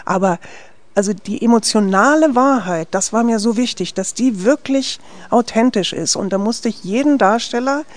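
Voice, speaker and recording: A woman's voice: on the high side, normal speed, no echo. A woman from West Berlin, a film director, answering interview questions in a chatty way. She tags her sentences with a questioning "ne?" and restarts her sentences often.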